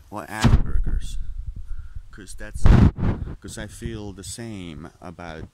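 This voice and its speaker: A man's voice: sits low, darkish tone, slight background noise, poor audio. A man rambling in a candid, profane monologue, full of restarts and detours, with Finnish as his first language. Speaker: vlogger.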